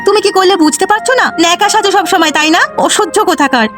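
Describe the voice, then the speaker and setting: A woman voicing a greedy, short-tempered village wife in a cartoon, sharp-tongued and nagging. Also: very high voice, fast, over music. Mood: angry scolding. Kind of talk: Bengali cartoon voice acting.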